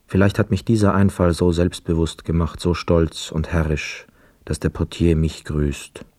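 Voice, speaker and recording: An actor playing a reflective, weary homecoming soldier in a radio play, with measured, lyrical phrasing. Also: male voice, deep voice, flat delivery, a little mellow, no echo, clean studio sound. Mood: musing. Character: weary young war returnee, reflective, dreamy wanderer. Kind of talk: literary radio play monologue and dialogue.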